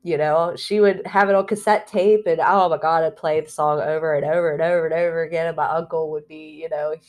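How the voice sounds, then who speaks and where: Low-voiced woman in a heartfelt, rambling vlog. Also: steady tone, fairly quick. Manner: rambling and heartfelt, with frequent fillers and restarts; confessional, soft laughs between phrases. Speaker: spiritual vlogger. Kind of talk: candid personal vlog talk.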